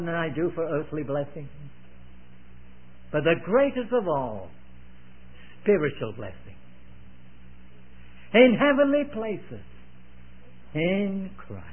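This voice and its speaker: A man in his eighties preaching a sermon, earnest and emphatic, repeating phrases with solemn, old-fashioned devotional wording. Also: sits high. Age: 80s. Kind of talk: sermon, expounding scripture. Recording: narrow, phone-like old sermon recording.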